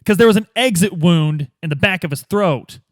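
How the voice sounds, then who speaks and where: Guy doing blunt pushy detective in an rpg, lively up n down voice, exasperated, casual, dry sound. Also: high voice, normal speed, no room sound. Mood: firm, confronting. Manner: blunt, insistent, informal. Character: dogged, exasperated male police detective questioning suspects.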